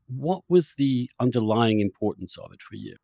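A man's voice: mid-range voice, lively intonation, creaky, warm tone, no room sound, studio quality. A man hosting a conversational interview, asking probing questions and often rephrasing them as he goes. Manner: halting, rephrases questions repeatedly. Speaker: interviewer, podcast host.

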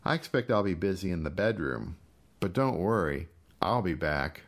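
A vengeful brother in a dark short story, acted out by a male reader, low-pitched and menacing. He taunts his helpless victim with mocking, sing-song questions and cruel relish. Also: expressive, moderate pace. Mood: mock cheerful.

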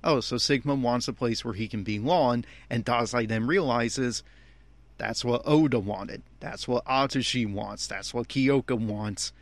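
A man's voice: medium pitch, a little slow, dry recording. Exasperated man giving commentary, close dry sound. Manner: exasperated, complaining. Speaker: fan podcast host. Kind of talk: opinionated anime episode commentary.

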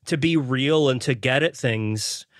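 A male podcast panelist with a mid-pitched voice talks through an argument. He often repeats words as he works toward his point. The sound is dry and close. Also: a little slow, dry recording. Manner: thoughtful, repeats words while building a point. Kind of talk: casual panel discussion.

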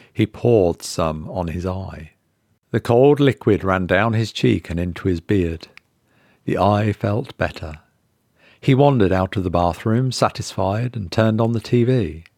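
A man reading a horror short story as narrator, in a measured, suspenseful dramatic style. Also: on the low side, no echo, clean studio sound.

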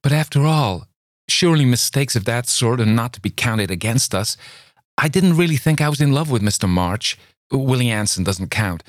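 A man's voice: mid-range voice, expressive, normal speed, no room sound, clean studio sound, voice pushed up, close to an everyday voice. A man voicing an impulsive, pleading young woman. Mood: desperate. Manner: breathless, imploring.

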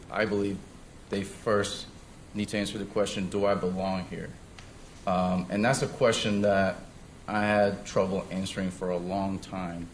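A man's voice: on the low side, a little hiss or hum. A young man born in South Korea, telling his personal testimony at church in a hesitant way with many fillers and restarts. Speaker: church member giving testimony. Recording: roomy sound with faint ambience.

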